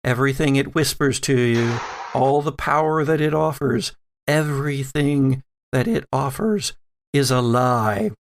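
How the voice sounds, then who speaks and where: A man voicing a solemn old grandmother, a grave mystic who pleads in halting, weighty pauses, in a tabletop game. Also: medium pitch, lightly acted. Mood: grave, pleading.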